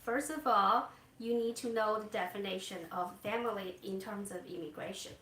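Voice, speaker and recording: Soft-spoken woman immigration consultant. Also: mid-range voice, moderate pace, echoey room, rough recording. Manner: soft-spoken, gentle, with hesitant fillers. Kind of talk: explaining to a live audience. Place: Canada.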